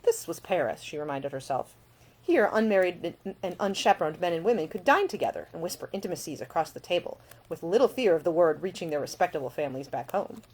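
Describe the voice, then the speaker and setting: A woman narrates scripted fiction as its author. Her voice sits a little low but moves with plenty of melody. The delivery is expressive storytelling. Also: fast talker. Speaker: author reading own audiobook.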